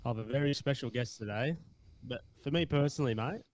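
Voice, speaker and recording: A man's voice: medium pitch, fast talker, a little mellow. A man in his late twenties with an Australian accent, hosting a podcast in a laid-back, blokey manner. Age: 20s. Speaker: podcast host. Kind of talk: casual podcast interview.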